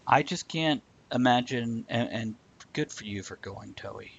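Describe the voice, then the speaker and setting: A man with an average-pitched voice hosts a casual live chat show. He speaks bluntly, shares his opinions freely and curses now and then. The stream audio is a little dull and lo-fi. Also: measured pace, gravelly, husky. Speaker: host of a live talk show. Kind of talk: casual chat, answering live viewer comments.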